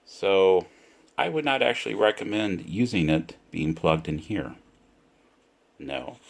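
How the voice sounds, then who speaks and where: Guy doing a casual gadget review, low voice, talks real slow and deliberate, kinda repeats himself. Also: animated, gravelly. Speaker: product reviewer. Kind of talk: casual unscripted product demonstration.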